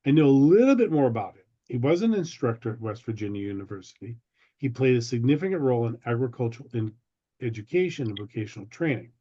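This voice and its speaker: Bright-voiced man presenting, slightly dull audio. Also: medium pitch, expressive, fast, slightly rough. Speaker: presenter giving a history talk. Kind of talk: slide presentation, prepared lecture. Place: online video meeting. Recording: dull, lo-fi video call audio.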